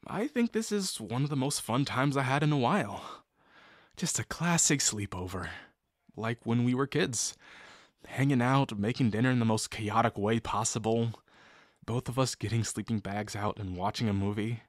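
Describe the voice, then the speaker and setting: A man's voice: mid-range voice, dry recording, studio quality, natural voice. A man playing a shy, sincere young guy in love with his best friend, speaking hesitantly and intimately to the listener in an audio roleplay. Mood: amused, relaxed. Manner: hesitant, halting, full of pauses and sighs. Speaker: voice actor.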